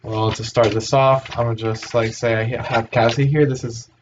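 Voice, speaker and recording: A man's voice: medium pitch, fairly even intonation, moderate pace. A young man from Puerto Rico hosting a casual podcast chat, hesitant, with many fillers and restarts. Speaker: host. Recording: dull lo-fi sound with faint hiss.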